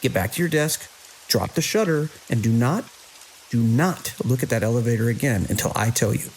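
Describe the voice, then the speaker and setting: An actor playing a grim, commanding security chief in a horror audio drama, giving terse, urgent orders. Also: male voice, mid-range voice, expressive, normal speed, a little mellow, natural voice, low-quality recording. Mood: firm, urgent. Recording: constant hiss and rain-like noise.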